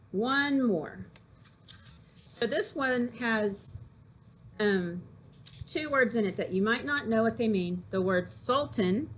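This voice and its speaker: A woman teacher reading aloud expressively and explaining things simply for children, with an animated, folksy delivery. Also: mid-range voice, a little hiss or hum. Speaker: teacher reading poems to grade-school children.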